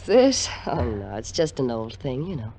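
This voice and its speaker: An actress playing a cute, sly wife in a 1950s radio drama, laconic, coy and dryly sarcastic. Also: female voice, rumbling low, very animated, moderate pace, mellow, slightly rough, exaggerated voice. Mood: coy, cool. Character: cute, sly, sardonic young wife with cool allure.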